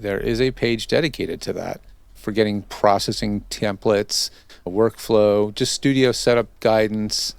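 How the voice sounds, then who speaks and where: A man with a lowish voice and a gravelly edge, speaking as an interview host. His manner is easygoing and jokey, like a casual chat between colleagues. The sound is close and dry. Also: no room sound. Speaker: interview host and audio consultant.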